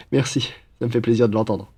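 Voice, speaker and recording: A man's voice: medium pitch, slightly rough. A man playing a playful, chatty young roommate. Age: young adult. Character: cheerful, teasing young male student roommate, aspiring chef. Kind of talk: ASMR audio roleplay, casual one-sided chat.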